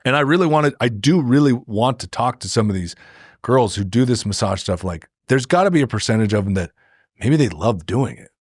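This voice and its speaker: A man's voice: medium pitch, lively intonation, brisk, husky, dry recording, clean studio sound. An American man chatting casually as a podcast co-host, rambling through opinions and anecdotes and acting out imagined remarks. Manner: rambling, filler-heavy, acts out imagined remarks.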